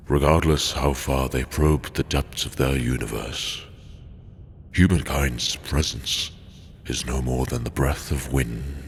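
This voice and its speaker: A man's voice: a bass voice, gravelly, fairly bright tone, slight background noise, heavily acted. A man playing an ancient, all-knowing cosmic entity in a sci-fi audio drama, stern and scornful, with a grandiose, mocking delivery. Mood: solemn. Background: music.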